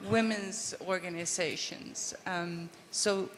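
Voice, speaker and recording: A woman's voice: fairly low pitch, fairly even intonation, slow pace, a little hiss or hum. Hesitant Swedish-born woman panelist, echoey hall. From Sweden. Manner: thinking aloud, with many ums, restarts and sighs. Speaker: panelist from a government aid agency. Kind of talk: panel discussion answer.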